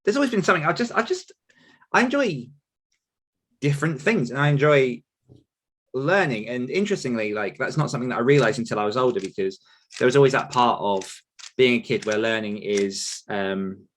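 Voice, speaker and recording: A man's voice: medium pitch, expressive, a little fast, fairly bright tone. A man in his thirties chatting casually and reflectively on a podcast, rambling and self-deprecating in manner. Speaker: podcast host and author telling his own story.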